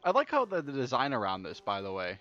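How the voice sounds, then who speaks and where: A man's voice: mid-range voice, wildly swooping pitch, fast talker, sharp tone. A young man doing casual let's play commentary and reading game text aloud, informal and stumbling over repeated words. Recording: dull lo-fi mic with music behind. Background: game music.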